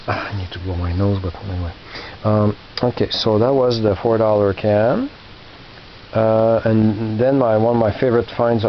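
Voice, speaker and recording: Man rambling haltingly, slightly low voice. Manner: halting, with many fillers and restarts. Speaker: collector showing flea market finds. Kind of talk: casual rambling show-and-tell. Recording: muffled with faint hiss.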